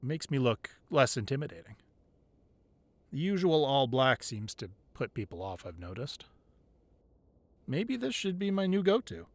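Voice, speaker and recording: A man playing a tough gang leader boyfriend who is teasing and tender in an intimate ASMR roleplay, with playful grumbling and soft, hesitant asides. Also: medium pitch, big pitch swings, a little slow, long pauses, no room sound. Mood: teasing, affectionate. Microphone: close microphone, dry.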